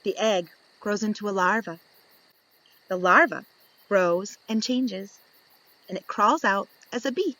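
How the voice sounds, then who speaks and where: A woman reads a children's picture book aloud in a bright, forward voice at a middle pitch. She is expressive and instructive, posing questions to young listeners as a teacher would at story time. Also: a little slow, long pauses, low-quality recording.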